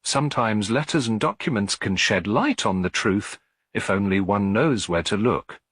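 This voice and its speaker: A man voicing a kindly, calm elderly lady detective in an audiobook mystery, gentle and reassuring. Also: lowish voice, moderate pace, slightly rough, no echo, studio quality, pitched above the performer's own voice.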